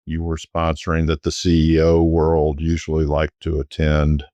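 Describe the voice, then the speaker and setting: Very deep-voiced man telling stories. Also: normal speed, no room sound, muffled recording. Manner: relaxed, rambling with frequent restarts. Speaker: guest, former private jet sales executive. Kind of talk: conversational storytelling.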